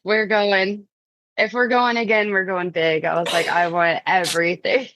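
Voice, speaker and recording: A woman's voice: medium pitch, flat delivery, normal speed, fairly bright tone, lo-fi sound. A woman guest on a podcast, talking in an informal, conversational way. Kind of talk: casual chat.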